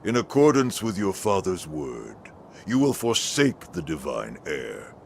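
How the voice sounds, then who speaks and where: Gravelly, very deep old shinobi master. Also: male voice, sing-song, a little hiss or hum, heavily acted. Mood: commanding. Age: older. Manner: slow, deliberate, imperious. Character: scheming old shinobi foster father, commanding and sinister. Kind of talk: video game cutscene acting. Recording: loud music underneath.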